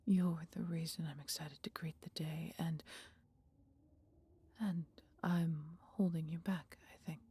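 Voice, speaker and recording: A woman voicing a mighty female dragon, proud yet caring, with breathy, husky tones and archaic, theatrical phrasing in a fantasy audio roleplay. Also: deep voice, steady tone, slow pace, warm tone, heavily acted. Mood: tender, sorrowful.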